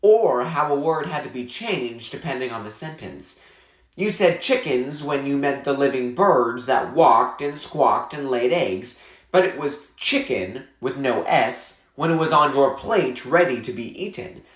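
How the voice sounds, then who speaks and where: A male narrator reads a children's novel with measured, clearly phrased delivery. His mid-pitched voice moves up and down in a lively way, and the room adds a noticeable echo. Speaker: read-aloud narrator. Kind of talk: expressive children's novel read aloud.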